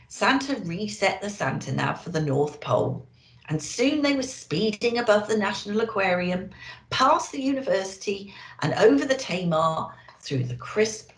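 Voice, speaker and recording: A woman's voice: medium pitch, big pitch swings, unhurried, roomy sound, low-quality recording. A woman reading a children's storybook expressively as a Christmas elf storyteller, with dramatic emphasis.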